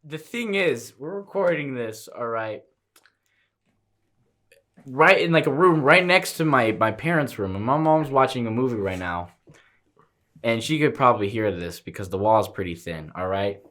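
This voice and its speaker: Animated young man telling a story. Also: mid-range voice, normal speed. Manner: animated storyteller, laughs often, keeps saying "all right?". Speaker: podcast co-host. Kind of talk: casual chat, storytelling. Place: room at home.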